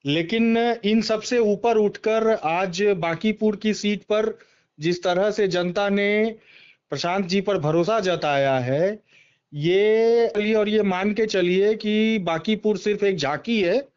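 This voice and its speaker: High-pitched man on a dull phone call. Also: slow pace, a little mellow, clear, resonant tone. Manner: emphatic, repeating words of agreement. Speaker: political party member and former election candidate, interviewee. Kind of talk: phone interview, political commentary. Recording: dull, band-limited phone call recording.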